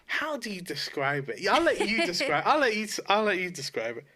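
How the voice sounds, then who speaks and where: A man's voice: high voice, wildly swooping pitch, normal speed, bright, forceful tone. A man co-hosting a podcast in casual chat, blunt and swearing freely. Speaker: podcast co-host. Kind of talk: casual chat about films and shows.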